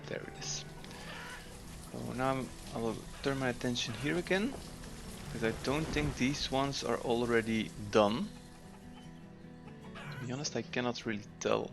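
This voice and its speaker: A man's voice: medium pitch, music in the background. Man musing through gameplay. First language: Dutch. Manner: thinking aloud, unhurried, frequent fillers. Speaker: gameplay commentator. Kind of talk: casual let's-play commentary.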